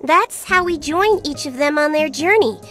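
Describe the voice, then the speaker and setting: Game fairy sidekick: very high, childlike, swooping pitch, cheeky, blunt, breezy teasing. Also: female voice, lively intonation, normal speed. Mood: breezy, explaining. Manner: breezy, teasing, casual wording with sharp mood swings. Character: tiny cheeky female fairy companion, blunt and flippant. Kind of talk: video game cutscene voice acting. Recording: music behind the voice.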